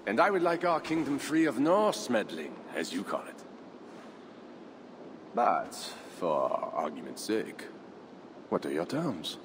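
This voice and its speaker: An actor playing a proud, defiant Frankish count in a video game cutscene, measured and haughty. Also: male voice, on the low side. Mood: sardonic. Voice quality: measured, haughty, lively delivery. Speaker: Frankish nobleman. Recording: game audio with clear ambient noise.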